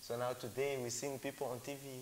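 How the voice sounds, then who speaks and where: A man's voice: medium pitch, little pitch movement, fast talker. A man speaks in a middle register, circling back over his points in an earnest, rambling way. A faint hiss sits under the recording. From Congo. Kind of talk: casual discussion. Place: Cape Town.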